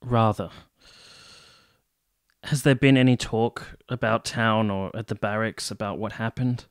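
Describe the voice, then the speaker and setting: A man acting a courteous, reserved young army captain in a radio play, speaking in a formal, measured, literary way. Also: lowish voice, steady tone, clear, resonant tone. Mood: polite, guarded. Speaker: actor and narrator in a radio play. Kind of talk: literary first-person narration and radio drama dialogue.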